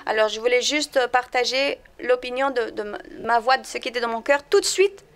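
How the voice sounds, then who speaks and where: A woman's voice: on the high side, smooth. An American woman, a native English speaker with an American accent, giving a personal testimony and searching for her words as she goes. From United States. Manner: halting, searches for words in French. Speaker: guest, missionary evangelist.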